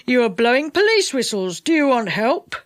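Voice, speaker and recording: A woman's voice: a little high, big pitch swings, normal speed. A woman voicing stern storybook policemen giving orders to children. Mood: officious.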